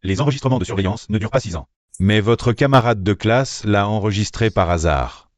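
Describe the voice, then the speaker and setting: Male voice actor: loyal assistant, low-ish, brisk reports. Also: no echo, close to an everyday voice. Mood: reporting. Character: loyal young male assistant, matter-of-fact. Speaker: assistant to the boss. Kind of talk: dubbed drama acting.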